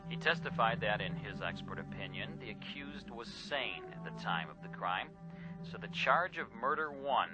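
A man plays a solemn courtroom judge delivering rulings. His lowish voice comes through a television set, and clear music plays underneath. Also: lively intonation, moderate pace, lightly acted, rough recording. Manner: formal, solemn, pronouncing. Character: stern male judge on a TV. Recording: heard from a TV in the room, music.

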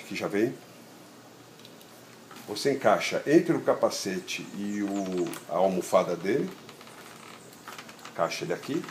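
Low-voiced Brazilian man, echoey sound. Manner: halting, repeats words, checks with "né" and "tá vendo". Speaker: product demonstrator. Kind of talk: informal hands-on tutorial, explaining step by step. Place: outdoor patio table in a garden. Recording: roomy echo, steady ambient noise.

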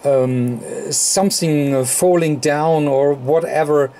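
A man with a non-native English accent giving an unscripted technical explanation as a tutorial presenter, haltingly, with very frequent ums and uhs. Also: a little high, mellow. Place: indoor electronics workbench. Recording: clear background noise.

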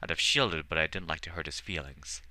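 A man voicing a curt, hot-tempered dark elf. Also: rumbling low, fairly quick, full character voice. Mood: gruff, wry. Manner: curt, forceful.

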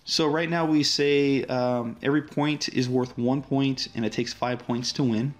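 Relaxed man demoing an app. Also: mid-range voice, unhurried. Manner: relaxed, friendly walkthrough with frequent fillers. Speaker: app developer. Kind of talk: casual screen-recorded app demo.

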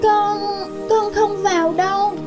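A woman's voice: very high-pitched, fairly even intonation, takes it slowly. A woman voicing a polite, shy little boy in a cartoon family drama. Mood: hesitant, sad. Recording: music behind.

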